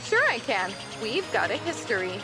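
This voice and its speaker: Casting: bold, protective cartoon mom woman acting, high voice, expressive, music behind. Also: moderate pace, poor audio. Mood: firm. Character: doting, spirited mother, bold and protective. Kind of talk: animated comic dub voice acting.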